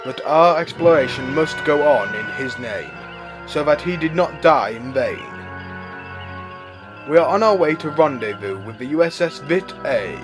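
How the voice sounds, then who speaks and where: A man's voice: on the high side, lightly acted. Composed starship captain, played by a man. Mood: solemn. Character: composed, grave male rabbit starship captain. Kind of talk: scripted space-adventure audio drama.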